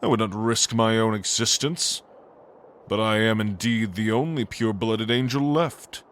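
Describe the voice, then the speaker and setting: A man's voice: on the low side, measured pace, fairly bright tone. A man playing an ancient, arrogant angel lord, a gloating villain who speaks with grandiose contempt in a fantasy audio drama.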